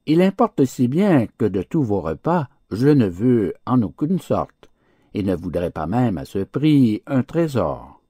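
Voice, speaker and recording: A man's voice: medium pitch, big pitch swings, slow pace, dark tone, husky, dry recording, clean studio sound, full character voice. A man voicing a starving, freedom-loving wolf in a storybook fable, eager and questioning. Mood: astonished, defiant.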